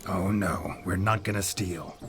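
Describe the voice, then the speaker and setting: An actor playing a gruff, dour, world-weary monster hunter in a video game, with terse, dry, deadpan delivery. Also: male voice, low-pitched, measured pace. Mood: reluctant. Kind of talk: video game character acting. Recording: music behind the voice.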